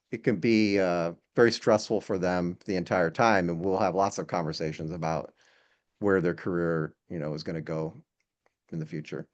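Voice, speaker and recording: A man speaking casually as an interview guest and instructor, in a hesitant, halting way with repeated words. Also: deep voice, darkish tone. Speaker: podcast guest, air traffic control instructor. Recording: dull, lo-fi sound.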